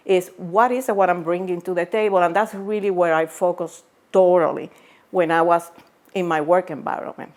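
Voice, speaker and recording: A middle-aged woman from Puerto Rico with a Puerto Rican Spanish accent, answering interview questions as an executive, halting with many fillers and restarts. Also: lowish voice, fairly even intonation, darkish tone. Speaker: pharmaceutical executive guest.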